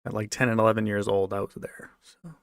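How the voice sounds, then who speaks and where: American man chatting casually, creaky voice. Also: on the low side, steady tone, fairly quick, no room sound, studio quality. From United States, raised moving around in a military family. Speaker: livestream host answering viewer comments. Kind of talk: casual off-the-cuff chat with viewers.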